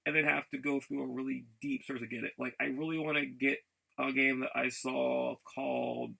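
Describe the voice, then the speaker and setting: A man with a bright, forceful voice and a lot of gravelly fry chats as a casual vlogger. He is keen and rambling, often stopping to repeat himself or change course mid-sentence. His pitch sits in an ordinary male range. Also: little pitch movement, rough recording. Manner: halting, repeats words and backtracks often. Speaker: vlogger showing game and figure pickups. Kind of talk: casual rambling vlog chat.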